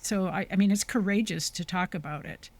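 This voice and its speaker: A woman's voice: mid-range voice, fairly quick. A woman hosting a podcast, speaking earnestly and reflectively in a casual conversation over a video call. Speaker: podcast host.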